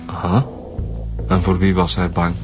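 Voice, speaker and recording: Polite, hesitant Flemish detective, lowish pitch. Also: male voice, completely flat delivery, a little slow, music in the background. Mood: patient questioning. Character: polite, hesitant, formal male police detective. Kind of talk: naturalistic radio drama acting.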